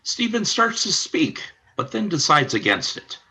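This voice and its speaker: A man's voice: a little high, very animated, unhurried, pushed and bright, slightly rough. A man narrating the stage directions of a radio play in a plain, matter-of-fact way.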